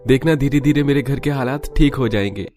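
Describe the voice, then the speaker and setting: A man's voice: mid-range voice, fairly quick, darkish tone, soft background music, lightly acted. A man playing an earnest, mild young man in a cartoon story, sincere and reassuring. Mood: hopeful. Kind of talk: Hindi cartoon story dialogue.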